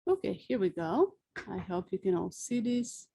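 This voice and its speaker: A woman in her forties from Serbia with a Serbian accent, a sculptor giving a careful, unhurried webinar presentation with frequent fillers, speaking outdoors. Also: mid-range voice, sing-song, vocal fry, mellow, slightly rough.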